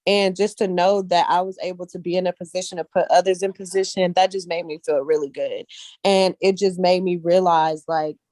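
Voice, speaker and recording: A woman speaking casually as an interview guest, telling personal stories in an animated, earnest and colloquial way, often checking in with her listeners. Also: on the low side, fairly quick, no echo. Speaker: guest, actress and casting director.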